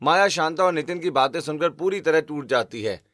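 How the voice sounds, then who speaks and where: A man narrating a cartoon family story in a steady, explanatory way. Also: fairly high pitch, brisk, dry recording.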